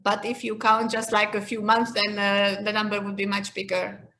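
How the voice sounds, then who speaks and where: A woman's voice: medium pitch, completely flat delivery, normal speed, pushed and bright, slight background noise. A woman in her fifties from Hungary with a Hungarian accent, answering interview questions in a reflective, conversational way. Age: 50s. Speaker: interview guest, leadership expert.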